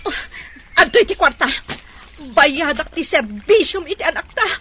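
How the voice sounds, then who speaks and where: A woman's voice: high voice, sing-song, moderate pace, bright, forceful tone, a little hiss or hum, full character voice. An actress playing a desperate, reproachful young mother in a radio drama. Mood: tearful, reproachful.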